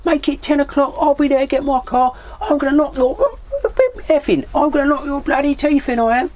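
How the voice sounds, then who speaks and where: Male storyteller playing belligerent drunk CB loudmouth, southern English, higher-pitched, taunting, repetitive threats. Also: level tone, brisk. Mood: blustering challenge.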